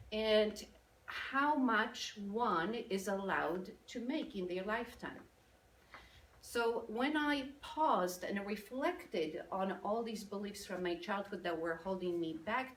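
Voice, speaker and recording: A woman with a Polish accent speaks in an engaging, lively way. Her voice carries through an echoey room, and she sounds some distance from the mic. A faint hum of ambience sits underneath. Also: medium pitch. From Poland. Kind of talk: motivational business talk. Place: event room with audience.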